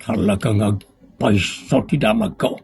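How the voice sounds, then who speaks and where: A man acting a slow, low-voiced, aggrieved old villager. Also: gravelly, darkish tone. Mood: bitter, hurt. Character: weary, aggrieved older village man building a house. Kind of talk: radio drama acting.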